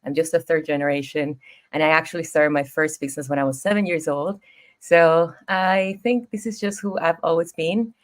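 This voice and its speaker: A woman in her thirties from Ecuador with a Spanish accent, telling her story as a podcast guest in an expressive, easygoing way. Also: low-pitched, moderate pace. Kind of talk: casual interview storytelling.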